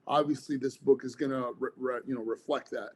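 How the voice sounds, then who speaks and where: A man's voice: mid-range voice, steady tone, a little fast, darkish tone. A man, a former police officer turned author, talking earnestly and rambling a little as a podcast guest. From New Jersey, United States. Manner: earnest, rambling, frequent fillers. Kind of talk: casual interview chat.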